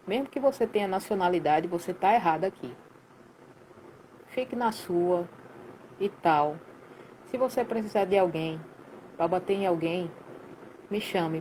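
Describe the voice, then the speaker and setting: A woman in her late thirties from Recife with a Pernambuco accent, telling a story in a lively, colloquial way full of slang during a casual live chat. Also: on the low side, slight background noise, low-quality recording. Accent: Pernambuco Portuguese. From Recife, Brazil. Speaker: guest, sound professional.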